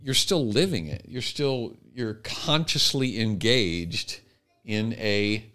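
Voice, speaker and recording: A man co-hosting a casual, reflective discussion, feeling his way through ideas with halting, repeated phrases. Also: fairly low pitch, lively intonation, pushed and bright. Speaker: podcast co-host.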